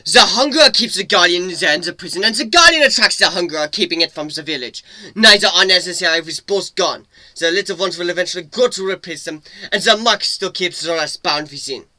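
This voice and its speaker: Casting: male reader as gruff, war-weary robot pony, sharp and forceful, bitter and swearing, storybook drama. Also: high-pitched, animated, a little fast, few pauses, no room sound, pitched above the performer's own voice, full character voice. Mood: angry, commanding. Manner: intense, angry, curt, swearing. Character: battle-worn older male mechanical pony, bitter and gruff.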